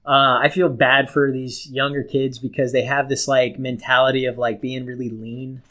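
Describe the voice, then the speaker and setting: A man's voice: medium pitch, little pitch movement, normal speed, fairly bright tone. A man co-hosting a podcast in casual comedic banter, speaking with crude, profane, joking exaggeration. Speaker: podcast co-host.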